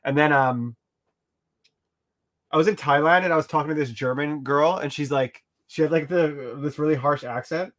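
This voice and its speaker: A man's voice: a little high, normal speed, fairly bright tone. An Australian man from rural Queensland chatting casually while he paints, rambling with lots of "like" and "um" and casual swearing. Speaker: artist vlogger.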